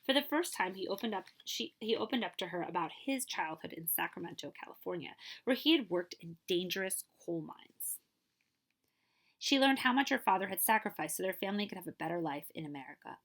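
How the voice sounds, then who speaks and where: A woman librarian reading a picture book aloud at a steady storytelling pace, now and then stopping for a short aside to her listeners. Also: medium pitch, fairly quick.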